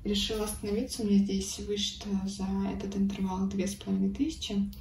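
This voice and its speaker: A slightly hoarse woman chatting casually about her needlework at home, sometimes dropping to a whisper. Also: medium pitch, little pitch movement, fast talker. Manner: chatty, drops into whispers, laughs softly. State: a bit hoarse. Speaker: cross-stitch vlogger. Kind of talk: casual chat showing needlework. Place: home, at a table. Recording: echoey room, mic at a distance, noisy background.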